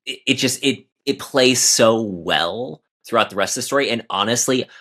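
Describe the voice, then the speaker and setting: A man's voice: mid-range voice, moderate pace, bright, forceful tone. A man talking casually as a guest author in a podcast interview about his writing.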